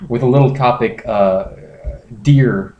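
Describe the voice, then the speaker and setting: A man's voice: medium pitch, slow, lo-fi sound. A man hosting a comedy podcast, casually reading internet posts aloud with wry, crude asides. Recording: roomy echo, faint noise.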